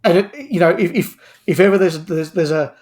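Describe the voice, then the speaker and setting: Higher-voiced man, podcast guest. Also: fairly quick, a little mellow, slight background noise. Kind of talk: casual review chat.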